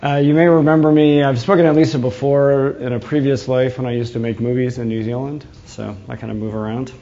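A man's voice: medium pitch, fairly even intonation, fairly quick, mellow, dull audio, slight background noise. A man from New England with a New England American accent, giving a conference talk with frequent fillers and restarts. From New England, USA. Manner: hesitant, many "uh" fillers and restarts. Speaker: conference speaker, engineering manager.

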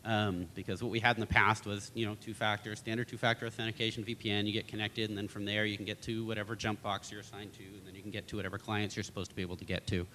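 A man with a lowish voice answers from a conference panel, casual and full of fillers and restarts. His words ring out in a long hall reverb. A faint rumble sits underneath. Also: flat delivery, rapid-fire, hardly any pauses, sharp tone. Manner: conversational, many fillers and restarts.

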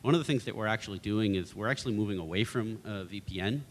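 A man with a lowish voice answers from a conference panel, casual and full of fillers and restarts. His words ring out in a long hall reverb. A faint rumble sits underneath. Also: quick pace. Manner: conversational, many fillers and restarts.

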